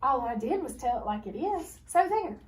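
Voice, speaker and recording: A woman's voice: high voice, very animated, moderate pace. A woman voicing an earnest, blunt, sassy schoolgirl with folksy, ungrammatical speech. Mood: smug. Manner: folksy, ungrammatical Southern-style child talk. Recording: noisy, distant microphone.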